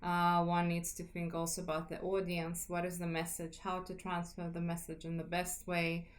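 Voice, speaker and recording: Woman on a video call, kinda low voice, chatty and upbeat, lots of ums. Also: completely flat delivery, moderate pace. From Lithuania. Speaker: guest, communications lead. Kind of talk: casual interview conversation.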